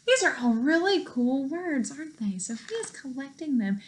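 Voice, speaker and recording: A woman reading a picture book aloud to children in an expressive, teacherly way, pausing to explain words and put questions to young listeners. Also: sits high.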